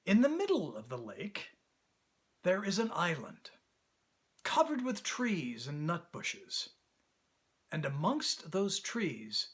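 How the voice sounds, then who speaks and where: A man reading a children's story as an actor, in expressive, deliberate storybook narration. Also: on the high side, breathy edge.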